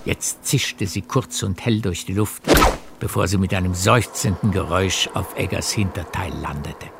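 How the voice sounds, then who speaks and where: A man narrating a literary radio play in a measured storytelling manner. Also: low voice, gravelly, very noisy. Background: music.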